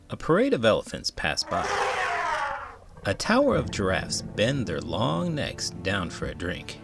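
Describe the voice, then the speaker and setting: A man reading a children's picture book aloud in an expressive, dramatic way, as a host would for young listeners. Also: fairly low pitch. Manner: expressive, dramatic delivery with big emotions. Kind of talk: animated read-aloud narration for children.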